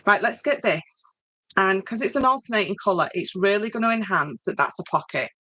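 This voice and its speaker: A woman's voice: medium pitch, expressive, brisk, bright, forceful tone, no room sound. A woman with a Yorkshire accent hosting an informal craft demonstration, chatting to viewers and thinking aloud as she works. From Yorkshire, northern England. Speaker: craft tutorial host.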